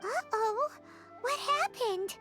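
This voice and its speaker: A tiny fairy girl from a video game, extremely high and squeaky. She is childlike and curious, swooping up and down as she talks, with music playing loudly behind her. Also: expressive, takes it slowly, heavily acted. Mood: puzzled.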